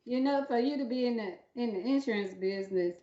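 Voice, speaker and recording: A woman's voice: on the high side, quick pace, warm tone. A woman co-hosting a casual chat in a teasing way. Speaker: co-host. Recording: dull, echoey room livestream audio.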